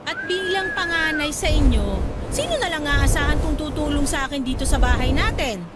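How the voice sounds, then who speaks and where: A woman's voice: sits high, expressive, moderate pace. An actress playing a stern, exasperated Filipino mother in her thirties, lecturing and scolding in a radio drama, with music behind her. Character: stern scolding mother of 33, naggy market vendor.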